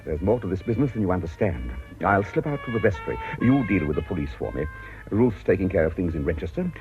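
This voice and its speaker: A man's voice: sits low, very animated, fast, darkish tone, lo-fi sound, faint music behind. An actor playing an anxious, well-spoken English clergyman in a British radio drama, hesitant and fretful. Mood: dismayed. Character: flustered, anxious cathedral canon, earnest married clergyman.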